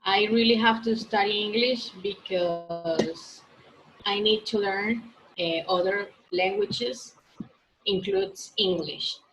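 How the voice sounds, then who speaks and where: Young Colombian woman, Spanish accent, careful slow exam answers over background noise. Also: medium pitch, a bit bright, low-quality recording. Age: teens. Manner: hesitant learner English. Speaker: exam candidate.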